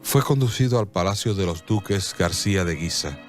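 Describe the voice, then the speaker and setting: A man narrating a scripted radio drama in a solemn, measured storytelling manner. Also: deep voice. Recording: clear music bed behind.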